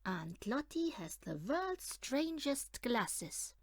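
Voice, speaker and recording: Lady reading a bedtime picture book, highish voice, takes her time, very expressive. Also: no room sound, studio quality. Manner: animated, deliberate storytelling for young children. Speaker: audiobook reader. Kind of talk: expressive read-aloud of a children's picture book.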